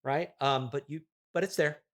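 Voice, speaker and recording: A man playing an affluent, self-important app developer as a deadpan guest on a comedy interview podcast. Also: a little high, close to an everyday voice. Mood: insistent. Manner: pompous, deadpan, condescending, deliberate.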